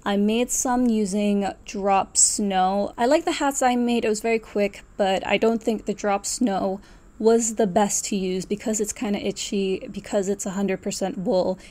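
A woman in her twenties talks casually to camera at home. Her voice is dark and relaxed, sitting at an ordinary female pitch. She rambles a little, muttering to herself and correcting what she just said. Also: a little slow. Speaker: knitting vlogger. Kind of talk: casual chatty yarn haul to camera. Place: small furnished room at home, indoors.

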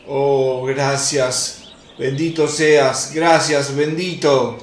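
An actor playing a humble, pleading crippled beggar in a radio drama. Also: male voice, medium pitch, steady tone, drawn-out words. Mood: grateful. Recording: roomy sound with faint noise. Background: birdsong, outdoor ambience.

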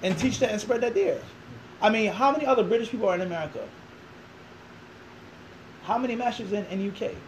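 Guy in a classroom preaching hard, quick talker, throws questions back, faint room hiss. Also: high-pitched, expressive, low-quality recording. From Philadelphia. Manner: emphatic, rhetorical questions, gestures a lot. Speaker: interviewee, Islamic teacher. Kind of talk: animated interview answer. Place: small classroom indoors.

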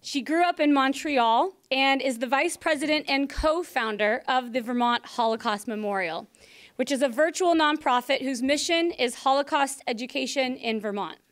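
A woman hosts an event in a meeting room, introducing a speaker from partly read notes. Her voice is high-pitched, clean and smooth. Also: moderate pace. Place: meeting room indoors with audience.

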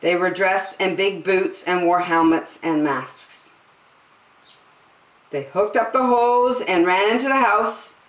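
Slow, low-voiced woman reading to children, roomy. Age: middle-aged. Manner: deliberate, drawn-out storytelling with emphatic words. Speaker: children's story time host. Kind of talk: expressive read-aloud of a rhyming picture book for children. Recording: roomy indoor echo.

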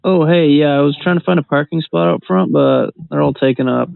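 A man's voice: fairly high pitch, fairly quick, vocal fry, clean tone, no room sound. Man rambling casually over a phone line. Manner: feigned earnest, rambling. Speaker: prank caller. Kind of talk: casual phone prank chat. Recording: band-limited phone line.

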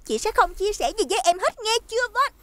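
Very high, dramatic cartoon big sister. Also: female voice, big pitch swings, fast, background noise. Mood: angry, hurt. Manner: scolding, dramatic. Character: bossy, emotional girl, older-sister type. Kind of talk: animated cartoon dub.

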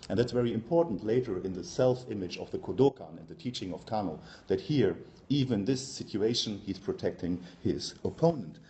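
A man in his forties gives an academic talk in English with a German accent. His voice is fairly low, with a dark, relaxed tone. He speaks haltingly, pausing often as he builds each sentence. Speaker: university professor, conference speaker. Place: lecture room, indoors.

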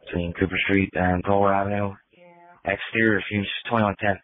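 Low-pitched male dispatcher on tinny radio. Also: fairly even intonation, normal speed, a crowd in the background. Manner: clipped, formal, repetitive phrasing. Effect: two-way radio. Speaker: emergency dispatcher. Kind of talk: scripted fire and EMS radio dispatch. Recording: phone-like fire radio transmission.

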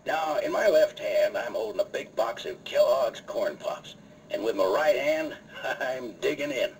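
Lively folksy cowboy radio pitchman. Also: measured pace, creaky. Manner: folksy, chummy cowboy talk. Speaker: sponsor announcer in cowboy persona. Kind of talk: 1950s radio ad pitch to children. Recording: old radio recording, faint noise.

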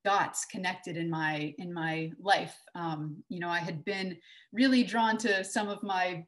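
A woman in her forties from Texas speaks to an online conference from a small room, captured by her webcam microphone. Her voice sits a little low, and she talks in a loose, conversational way, full of fillers and restarts. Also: fairly even intonation, unhurried, a bit bright. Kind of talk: online conference talk with slides. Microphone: webcam on a video call.

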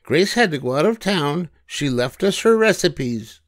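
An older man voicing a cheerful, literal-minded young maid in a high, earnest sing-song. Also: animated, measured pace, dry recording, clean studio sound.

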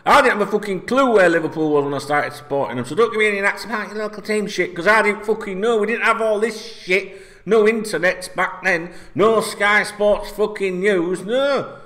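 A man's voice: high-pitched, normal speed. A man in his forties from North Yorkshire with a Yorkshire accent, ranting about football to camera in blunt, sweary casual talk, forever checking in with "right?", seated in a small room. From North Yorkshire, England. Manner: sweary, blunt, animated, keeps asking "right?". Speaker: football vlogger. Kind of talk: casual opinion rant to camera. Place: small indoor room, seated in red chair.

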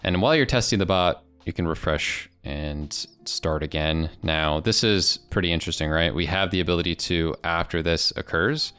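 A man in his twenties with an American accent, presenting a casual software demo into a close desk microphone in a small home studio. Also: low-pitched, moderate pace, few pauses, smooth, soft background music. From United States. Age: 20s. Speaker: presenter of software review videos. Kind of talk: casual product demo narration.

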